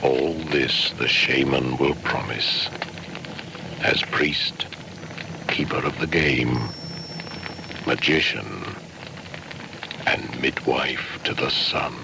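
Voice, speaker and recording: An actor playing a solemn storyteller, narrating ancient myth in deliberate poetic verse for a radio drama. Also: male voice, very deep voice, creaky, background noise, exaggerated voice. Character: grave male storyteller of an ancient midwinter myth. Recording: loud music underneath.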